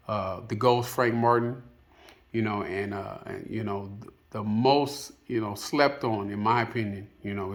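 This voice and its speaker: A man's voice: lowish voice, fairly bright tone. A man giving casual, unscripted opinion commentary as a video host, rambling and hesitant, often padding with filler phrases. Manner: hesitant, rambling, peppered with "you know".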